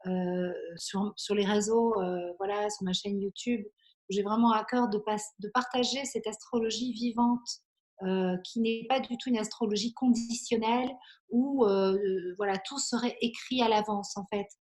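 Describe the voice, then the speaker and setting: Woman in her 40s from belgium, medium pitch, being interviewed, earnest and kinda halting as she finds her words. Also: steady tone, a little mellow. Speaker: interview guest, astrologer. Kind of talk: reflective conversational interview.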